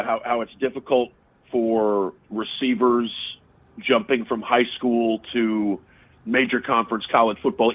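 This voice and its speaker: A man calls in as a sports radio guest, his voice squeezed through a thin, tinny phone line. He talks analytically at a middle pitch, often circling back and restarting phrases mid-thought. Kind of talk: casual sports interview.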